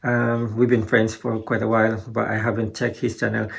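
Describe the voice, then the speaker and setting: A man talking casually and unscripted to viewers as a guitar gear vlogger, in a modest, halting, hesitant way. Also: lowish voice, monotone, creaky, husky. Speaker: guitar gear video creator. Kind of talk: casual unscripted vlog talk.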